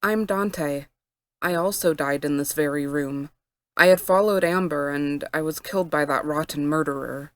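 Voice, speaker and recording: A bitter young ghost boy from a horror story , voiced by a woman narrator. He speaks low, clear and firm, with a dry sound. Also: moderate pace, no room sound, lightly acted. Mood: grim, firm.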